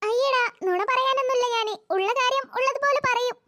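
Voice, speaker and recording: A performer voicing a teasing, sassy young woman in a cartoon, with a pitched-up voice. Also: a little fast, bright, forceful tone. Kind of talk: cartoon voice acting.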